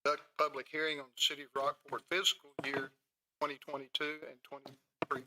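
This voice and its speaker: A man's voice: on the high side, little pitch movement, brisk, sharp tone, rough recording. A man chairing a council meeting as mayor, formally reading items aloud. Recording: public meeting recording, faint noise.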